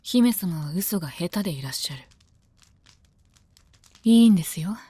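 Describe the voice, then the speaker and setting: A woman acts out a devoted female knight in an intimate audio drama. Her voice is on the low side and sits close and dry. She is composed, deferential and soft-spoken. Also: normal speed, breathy edge, no echo. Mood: quietly teasing, grave. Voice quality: calm, composed. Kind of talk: Japanese voice drama, intimate scripted dialogue.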